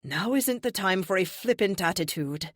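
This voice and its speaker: A woman's voice: medium pitch, lively intonation, normal speed, fairly bright tone, no echo, studio quality. Woman acting stern, haughty villainess witch. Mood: scornful. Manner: stern, scornful. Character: menacing silver-haired witch villain woman, cold and haughty.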